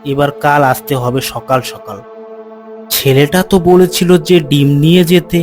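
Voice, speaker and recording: A man voicing a poor, gentle farmer father in a cartoon story, with a plaintive, pleading manner. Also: fairly high pitch, moderate pace, close to an everyday voice. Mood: resigned. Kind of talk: cartoon moral story dialogue. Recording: music under the voice.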